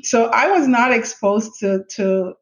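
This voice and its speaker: A middle-aged woman, a podcast guest who tells her career story in a chatty, animated way, with many fillers and laughs. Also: on the high side, unhurried. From Jamaica. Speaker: guest, chief information officer. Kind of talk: conversational interview storytelling. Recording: slightly dull lo-fi audio.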